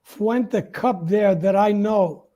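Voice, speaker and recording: A man hosts a relaxed, conversational interview on a video call. His voice sits quite high for a man, with a dark, mellow tone. He chats casually and puts questions to his guest. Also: takes it slowly, muffled recording. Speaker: interview host.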